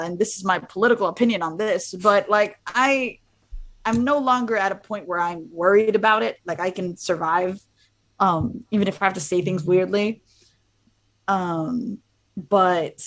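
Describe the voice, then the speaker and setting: A young woman from Miami, a student abroad, chatting casually as a guest and rambling with many fillers. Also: medium pitch. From Miami, USA. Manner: rambling, heavy use of "like". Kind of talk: casual chat.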